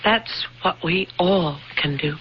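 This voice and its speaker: An American actress playing an earnest, persistent young bride-to-be in a radio drama, speaking insistently with hesitant pauses. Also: female voice, lowish voice. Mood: determined, wistful. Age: young adult. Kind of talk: 1970s radio drama acting. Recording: dull lo-fi old radio broadcast, music behind.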